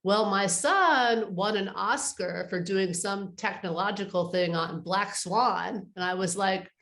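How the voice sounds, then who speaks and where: A woman's voice: mid-range voice, takes it slowly, fairly bright tone, roomy sound. A woman speaking conversationally as an advisor being interviewed, explaining her work and giving practical advice. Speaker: interview guest, art advisor.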